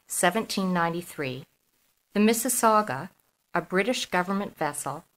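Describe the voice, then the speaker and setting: Woman, middle-aged, Canadian accent, fairly low voice, measured author's reading, articulate. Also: a bit bright, rough recording. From Toronto, Canada. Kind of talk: literary reading and self-introduction.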